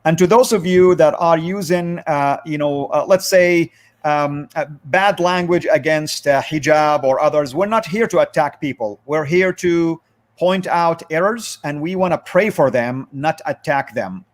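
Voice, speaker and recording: A man with a slightly high voice acts as host of a religious livestream discussion. He phrases things deliberately, with halting stretches as he steers the talk.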